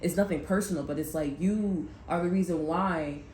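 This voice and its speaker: A woman's voice: on the low side, moderate pace, darkish tone. A woman giving a casual tarot reading, rambling and blunt, who swears when she gets heated. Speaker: tarot reader. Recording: echoey room with faint background noise.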